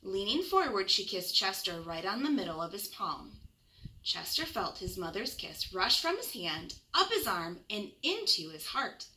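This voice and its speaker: A woman reading a children's storybook expressively, like a school educator talking to young kids with deliberate emphasis. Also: medium pitch, sing-song, bright, forceful tone, poor audio.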